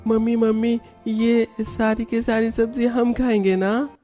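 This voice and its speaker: A woman acts out a curious village character in a cartoon story. The delivery is slow and drawn out. The tone is soft-edged and dark, with music behind. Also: a little high, flat delivery, natural voice. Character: market customer or child, simple cartoon villager. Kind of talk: Hindi cartoon story dialogue.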